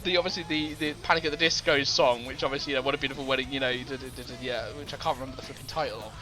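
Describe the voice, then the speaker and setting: A man's voice: mid-range voice, fairly even intonation, rapid-fire, bright, forceful tone. A man co-hosting a casual music podcast, rambling with many fillers and restarts and laughing easily. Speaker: podcast co-host. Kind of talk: casual chat about music.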